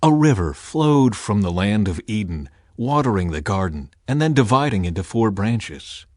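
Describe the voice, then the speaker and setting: A man narrating scripture as an audiobook in an expressive, deliberate, dramatic storytelling style. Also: lowish voice, dry recording, studio quality.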